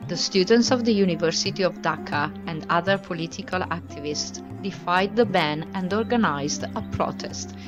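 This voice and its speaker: A woman narrates a short documentary in a very deep voice, reading her script evenly and clearly. Music plays distinctly underneath her. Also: moderate pace, few pauses, muffled recording.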